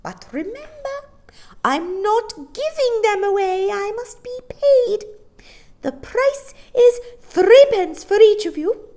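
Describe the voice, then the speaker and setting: A tiny old shopkeeper woman from a storybook, piercingly high and squeaky. She swoops wildly from fierce scolding to sugary cooing, stretching out her words with a cackling, bossy glee. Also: sing-song, takes it slowly, warm tone, exaggerated voice. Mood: brisk, demanding. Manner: exclamatory, swinging between scolding and cooing.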